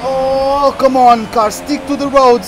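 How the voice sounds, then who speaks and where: A man from Portugal, streaming a racing game and chatting excitedly through the action. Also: very high voice, slow, noisy background. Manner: chatty, reacts excitedly to the race. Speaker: gaming streamer. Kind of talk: live game commentary.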